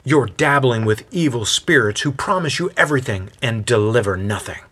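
A man plays a bold accuser in a dramatic story. He is blunt, forceful and righteous, declaiming with a widely moving pitch. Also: animated, normal speed, husky. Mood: blunt, scornful. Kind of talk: acted storytelling dialogue.

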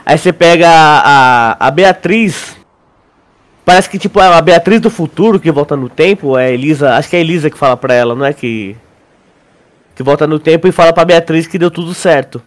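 A man's voice: fairly high pitch, brisk. A Brazilian man co-hosting a podcast, theorizing in a casual, slangy and rambling way. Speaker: podcast co-host. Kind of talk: casual chat, theorizing about a series.

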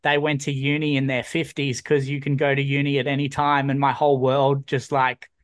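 A man's voice: medium pitch, monotone, brisk. A man, hosting an easygoing interview, talking in a reflective way with lots of fillers. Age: 20s. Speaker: podcast host. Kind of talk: casual interview chat.

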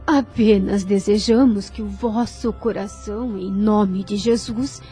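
An actress with a Brazilian accent playing a humble, devout nun in a radio drama, formal, deferential and pleading. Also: female voice, medium pitch, steady tone, unhurried, dark tone, faint music behind, lightly acted.

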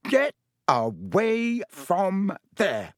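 Storybook teen prefect, played by a man: officious, indignant, fussy scolding, pitched a little high and lively. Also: unhurried, dry recording, clean studio sound. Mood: shocked. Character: pompous, officious, scandalized teenage prefect boy.

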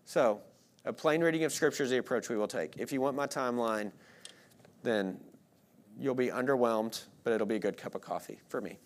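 A man's voice: medium pitch, fairly quick. A man, preaching an expository sermon in an informal, self-deprecating way with rhetorical questions to listeners. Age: late 30s. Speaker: pastor preaching.

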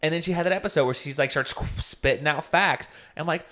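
A man's voice: fairly high pitch, fast. A man co-hosting a casual podcast, chatting and teasing his co-host while reviewing a TV comedy. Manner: chatty, teasing, lively intonation. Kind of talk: casual chat reviewing a TV show.

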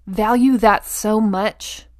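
A woman hosting a motivational monologue, speaking directly to the listener with emphatic phrasing, deliberate pauses, repetition and rhetorical check-ins. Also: medium pitch, fairly even intonation. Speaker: podcast host.

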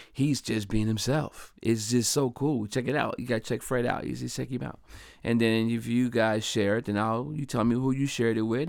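A man from Delaware hosting his own podcast, talking in a casual, upbeat, chatty way and giving slangy shout-outs to listeners. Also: on the low side, creaky, dry recording, clean studio sound. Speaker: podcast host. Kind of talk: casual solo podcast monologue.

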